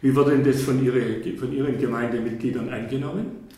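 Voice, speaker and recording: A man interviewing, asking measured and formal questions. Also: mid-range voice, fairly even intonation, mellow, recorded from a distance. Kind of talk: radio interview. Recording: echoey room, off-mic.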